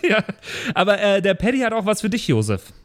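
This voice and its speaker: A man's voice: sits high, sing-song, brisk. A man hosting a podcast in casual, loose chat, introducing listener questions. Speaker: podcast host.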